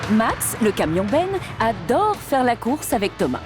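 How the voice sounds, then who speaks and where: A woman's voice: fairly high pitch, very animated, measured pace. A woman, reading scripted narration for a children's cartoon. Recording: music behind the voice.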